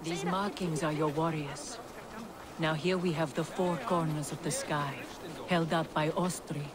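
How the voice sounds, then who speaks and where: A woman's voice: low voice, steady tone, unhurried, crowd noise. A woman playing a proud, wary Norse goddess queen in a video game, firm and guarded. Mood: concerned. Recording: clear ambience noise behind.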